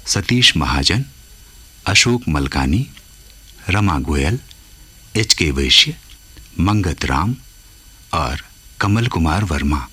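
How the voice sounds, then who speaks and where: A male radio announcer delivers formal credits in a very deep, slightly rough voice. He speaks slowly and with bright, forceful projection. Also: lively intonation.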